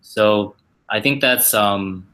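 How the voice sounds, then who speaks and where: Halting man with a bright, lowish voice. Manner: halting, many fillers and repeated starts. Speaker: company staff member hosting a Q&A. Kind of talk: casual livestream Q&A. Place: company office.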